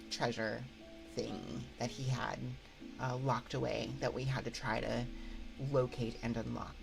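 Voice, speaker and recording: A woman livestream host chatting casually on an ASMR stream into a close binaural mic, thinking aloud in a halting, conversational way. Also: very deep voice, vocal fry, poor audio. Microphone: close binaural microphone. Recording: background music behind voice. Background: music.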